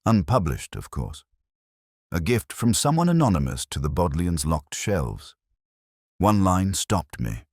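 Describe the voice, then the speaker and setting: Very deep-voiced brooding male narrator. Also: very animated, darkish tone, husky, no room sound, studio quality. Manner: measured, brooding, somber delivery. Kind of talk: first-person noir detective narration.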